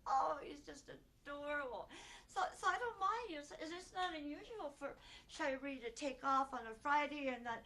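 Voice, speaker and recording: An actress performing a stage monologue as a distraught older grandmother who protests her innocence in halting, repetitive speech. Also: high-pitched, pushed and bright, low-quality recording. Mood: rambling, fond. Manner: halting, stammering, repeating herself.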